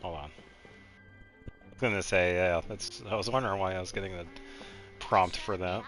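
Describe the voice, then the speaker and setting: A man's voice: low-pitched, normal speed, fairly bright tone, faint music behind. A man playing a game and commenting casually, musing aloud in an offhand way. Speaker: gaming streamer.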